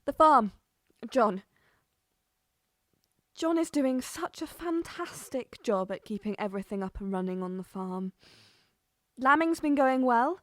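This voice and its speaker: A woman's voice: fairly high pitch, expressive, a little slow, long pauses, a bit bright, no echo, studio quality. A woman playing a wistful farm wife composing a letter in an audio drama monologue, hesitant and musing. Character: wistful English farm wife writing to her absent husband.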